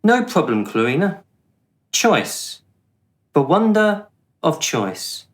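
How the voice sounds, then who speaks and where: Man acting a slow, patronising afterlife bureaucrat. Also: fairly high pitch, very animated. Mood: smugly amused. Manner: deliberate, officious, patronising. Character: smooth, condescending male afterlife bureaucrat judging the dead. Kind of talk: radio play acting.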